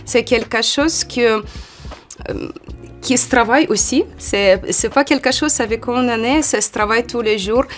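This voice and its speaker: Une femme d'une quarantaine d'années, d'origine ukrainienne, avec un ukrainien, qui répond à une interview sur un ton décontracté et hésitant. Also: female voice, on the high side, normal speed, soft background music. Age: 40s. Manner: hesitant, many "euh" fillers, lively when acting out quotes. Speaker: model, interview guest. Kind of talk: casual interview answers.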